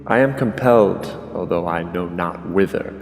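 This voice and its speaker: An actor playing a vengeful king tormented by rage in a classical tragedy, declaiming gravely and theatrically. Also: deep voice, normal speed, darkish tone. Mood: dark, tormented. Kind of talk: classical tragedy monologue, declaimed audio drama. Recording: long hall reverb, music underneath. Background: dramatic music.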